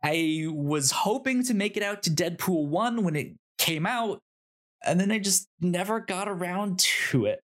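A man co-hosting a review podcast in casual chat, with a halting, thinking-aloud delivery. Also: high voice, lively intonation. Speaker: podcast co-host.

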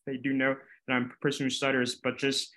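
A man's voice: mid-range voice, completely flat delivery, fast talker, a bit bright. A young man, a student guest in an interview, speaking openly and with frequent stuttered repetitions and blocks. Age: young adult. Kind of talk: interview answers.

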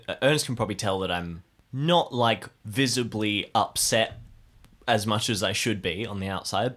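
Low-voiced man narrating his roleplay character. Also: fairly bright tone. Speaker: player in improvised audio drama.

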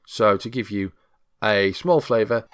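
A man's voice: on the low side, a little slow, clean tone, faint music behind. A man presenting a podcast in a casual, chatty manner as its host.

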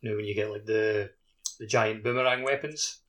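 A man's voice: on the low side, steady tone, a little fast, a bit bright. A man on a podcast chatting casually about a video game, peppering his talk with "like". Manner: frequent filler "like". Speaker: podcast host.

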